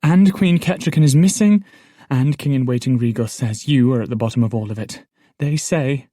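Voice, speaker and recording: A man voicing an excitable, headstrong elderly noblewoman in an audiobook, talking in a rapid, indignant rush. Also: medium pitch, slightly rough, dry recording, studio quality, natural voice. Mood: breathless. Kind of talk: audiobook character voice.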